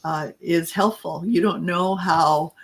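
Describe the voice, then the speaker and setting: Scratchy, husky-voiced woman co-host. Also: fairly low pitch. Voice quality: scratchy, raspy voice. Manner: halting and reflective, trails off mid-thought. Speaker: podcast co-host and coach. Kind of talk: personal storytelling in casual chat.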